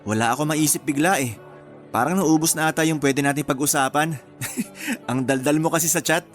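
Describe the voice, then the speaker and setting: A man's voice: on the high side, brisk, faint music behind, lightly acted. An actor playing a teasing, smitten engaged man in his thirties in a romantic radio drama. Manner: playful, flirtatious teasing.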